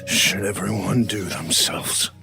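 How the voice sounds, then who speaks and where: A man voicing a drunk, grim, defeated middle-aged father in a horror story, halting and slurred. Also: sits low, steady tone, creaky, over music, exaggerated voice. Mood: grim, resigned. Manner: halting, slurred, sober-minded. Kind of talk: dramatic horror audio story.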